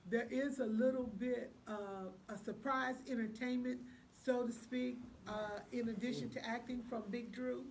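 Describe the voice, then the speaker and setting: A woman's voice: on the high side, fairly even intonation, a little slow, creaky, hardly any pauses, a little mellow. A woman speaking casually as an interview guest, promoting a production as one of its organizers. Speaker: stage play producer, guest. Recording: faintly noisy room recording.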